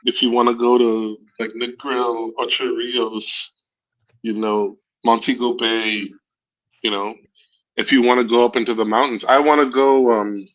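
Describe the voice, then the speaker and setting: A man with a Guyanese accent talks casually on a livestream, wandering through stories and breaking into laughter. His voice sits at a middle pitch, and the audio is dull and lo-fi. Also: level tone, unhurried. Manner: laughs often, rambling storytelling. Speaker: streamer. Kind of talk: casual chat.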